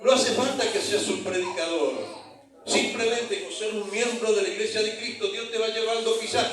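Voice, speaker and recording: Argentine male pastor, high-pitched, preaching from afar in a very echoey church hall. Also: fairly even intonation, normal speed, a bit bright, recorded from a distance. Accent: Argentine Spanish. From Argentina (San Juan). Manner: storytelling with call-and-response to the congregation. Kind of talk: sermon.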